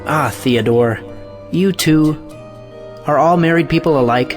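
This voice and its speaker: A man's voice: fairly high pitch, a little slow, soft background music, natural voice. A man playing an indignant, idealistic scientist in an audio play, with a declamatory, scornful manner. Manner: declamatory, rhetorical questioning.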